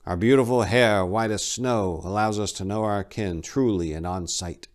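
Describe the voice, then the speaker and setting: A man's voice: lowish voice, moderate pace, close to an everyday voice. A man voicing an old, weary, stoic elf monk who lectures devoutly in a lofty, solemn manner for a fantasy story reading. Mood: solemn, reverent.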